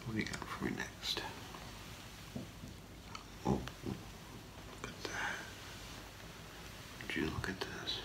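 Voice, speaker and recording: Very deep, creaky whispering ASMR man. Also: lively intonation, low-quality recording. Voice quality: breathy low whisper with gravelly creak. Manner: hushed, unhurried, halting, explaining gently to a client. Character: soft-spoken gemologist appraising for a client. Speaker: ASMR performer playing a gemologist. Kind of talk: ASMR role play, soft whispering. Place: small indoor room, seated before a curtain.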